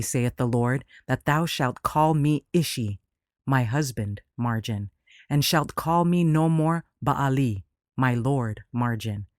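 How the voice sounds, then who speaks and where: Higher-pitched man reading devotional lesson. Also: a little mellow, dry recording, studio quality. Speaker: lesson reader.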